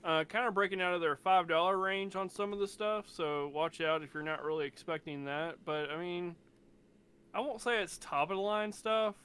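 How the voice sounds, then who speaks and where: A man's voice: high voice, moderate pace, a bit bright, clear, resonant tone. A man chatting casually as a podcast panelist, rambling a little as he searches for words. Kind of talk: casual chat.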